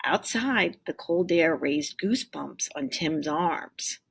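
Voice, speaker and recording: Female teacher, lower register, raspy edge. Expressive storybook read-aloud with teaching asides. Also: slow pace. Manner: expressive, acts out dialogue. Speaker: teacher reading aloud to pupils.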